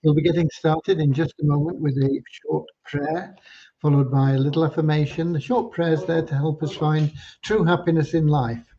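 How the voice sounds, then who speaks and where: A man from Cornwall hosting an informal livestream, talking in an unhurried, earnest, slightly preachy way. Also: on the high side, steady tone, mellow, a little hiss or hum. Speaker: livestream host and community leader. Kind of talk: informal livestream updates with devotional talk. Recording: dull lo-fi livestream audio.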